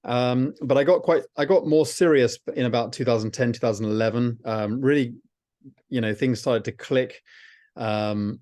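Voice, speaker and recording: A man's voice: mid-range voice, moderate pace, darkish tone, dry recording, studio quality. A middle-aged man hosting a casual video call chat from home, talking with many fillers and restarts. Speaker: host. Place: home, at night.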